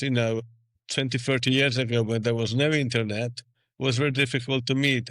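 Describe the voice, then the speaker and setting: A man's voice: mid-range voice, a bit bright, dry recording. A man in his sixties, from Hungary, raised in England, answering interview questions earnestly and step by step. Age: 60s. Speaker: podcast guest.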